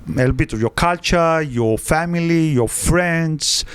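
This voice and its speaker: A man's voice: a little high, smooth, no echo. Hesitant man in his fifties, non-native. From Egypt. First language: Greek. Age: 50s. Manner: halting, frequent "uh" fillers, non-native grammar. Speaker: guest, wealth adviser. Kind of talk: podcast interview.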